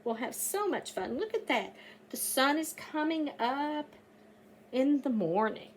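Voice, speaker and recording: A middle-aged woman reading aloud to young children like a teacher, sing-song and animated, often breathy, stretching key words and putting questions to her listeners. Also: high-pitched, a little slow, a little hiss or hum. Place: small indoor room, seated by a door.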